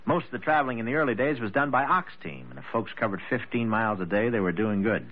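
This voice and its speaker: A man's voice: medium pitch, fast. An American man giving a scripted, folksy and oratorical storytelling address in 1940s radio style. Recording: muffled vintage radio broadcast.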